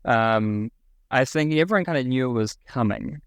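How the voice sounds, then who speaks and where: A man's voice: mid-range voice, moderate pace, clear, resonant tone, no room sound. A man from New Zealand with a New Zealand accent, a podcast guest answering questions casually, with many fillers and restarts.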